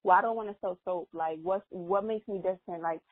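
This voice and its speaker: A woman's voice: lowish voice, a little fast, no room sound. A young woman whose first language is Spanish, an interview guest talking earnestly in a casual, rambling way with many fillers. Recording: dry, tinny phone-like audio.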